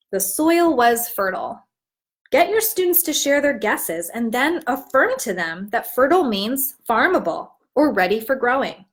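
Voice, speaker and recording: A woman teaching in an instructive, expressive way, as if demonstrating how to read a storybook aloud. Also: fairly high pitch. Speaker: teacher trainer.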